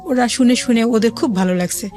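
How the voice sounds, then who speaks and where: A woman's voice: on the high side, fairly quick, darkish tone, faint music behind. A middle-aged woman with a Bangladeshi accent, telling her life story conversationally as a guest and quoting remembered dialogue as she goes.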